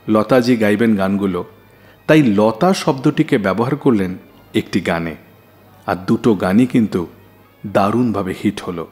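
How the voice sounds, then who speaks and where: A man's voice: lowish voice, a little mellow. A man hosting a radio show, telling scripted anecdotes in a dramatised, storytelling manner. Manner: dramatised anecdote telling, acting out quoted dialogue.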